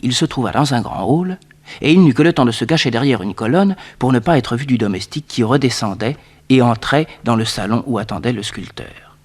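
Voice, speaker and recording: An actor narrating a 1960s radio detective serial as a measured storyteller. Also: male voice, medium pitch, animated, lightly acted.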